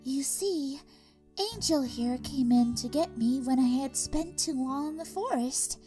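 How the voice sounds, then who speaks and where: A woman performs a shy pegasus mare in a fan-made cartoon audio drama, with a very high, hushed voice that stammers and trails off. She speaks slowly and gently over soft music in the background. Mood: timid, apologetic. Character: timid gentle female pegasus pony.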